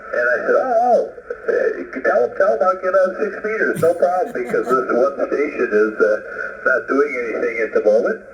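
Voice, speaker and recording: A man chatting casually as a ham radio operator over a two-way radio, halting and rambling as he goes. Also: fairly high pitch, a crowd in the background. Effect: two-way radio transmission. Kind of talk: casual radio contact chat. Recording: muffled, roomy ham radio signal.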